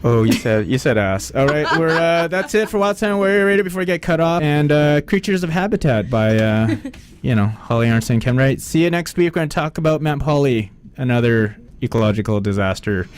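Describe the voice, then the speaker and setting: A man's voice: on the high side, animated, fairly quick, few pauses. Joking man hosting a radio show. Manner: joking, prodding questions. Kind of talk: casual radio interview. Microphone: radio studio microphone.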